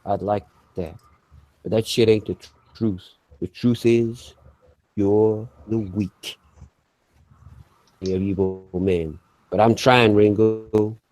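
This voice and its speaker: A man playing a righteous, vengeful American gunman who recites scripture in a deliberate, menacing, preacherly way with an American accent. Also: on the low side, steady tone, a little mellow, no echo, rough recording. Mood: menacing, reflective.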